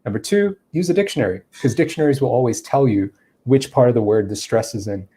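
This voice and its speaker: A man explains English pronunciation to viewers, pausing with fillers as he thinks. His voice is mellow and sits at an ordinary pitch. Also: lively intonation, breathy edge. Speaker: English teacher. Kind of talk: answering viewer questions in a lesson.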